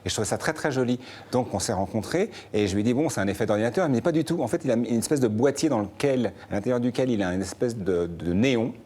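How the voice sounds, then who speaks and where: A man from France, a musician guest in a television interview, talking fast and restarting phrases with repeated words. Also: mid-range voice, mellow. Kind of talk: talk show interview.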